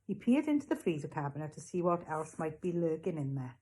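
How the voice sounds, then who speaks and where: A woman narrating a children's storybook as a read-along, in an expressive scripted reading style. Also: deep voice, brisk. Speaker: reader, school read-along.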